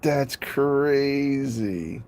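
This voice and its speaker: A man's voice: mid-range voice, expressive, drawn-out words, a little mellow. A man chatting casually on a live stream, playful, laughing often and stumbling over names.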